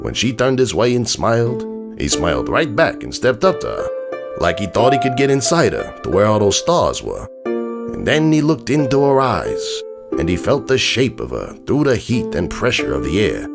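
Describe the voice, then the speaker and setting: A man playing a gruff, world-weary, streetwise stallion who tells a bedtime story in slangy, grumbling and wistful tones, as a dramatic reading. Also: lowish voice, wildly swooping pitch, moderate pace, loud music behind the voice, exaggerated voice. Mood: wistful, smitten.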